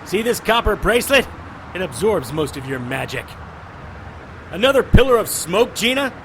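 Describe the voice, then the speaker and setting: A man's voice: high-pitched, very animated, moderate pace, background noise. A man playing a wisecracking private eye. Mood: wry. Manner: sarcastic, wisecracking. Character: wisecracking male private investigator, cocky. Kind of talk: audio drama acting.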